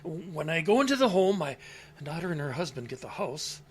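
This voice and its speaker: Halting, guilt-ridden old man. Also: a little high, sing-song, breathy edge. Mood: grim, guarded. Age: older. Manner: halting, repeats words, muttering. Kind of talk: radio drama acting. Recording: faint background noise.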